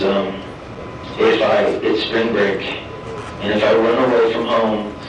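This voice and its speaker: A man in his forties, an inmate speaking at a parole hearing, halting and soft-spoken, often repeating himself. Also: lowish voice. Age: 40s. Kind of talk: formal hearing testimony. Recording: echoey distant room with noise.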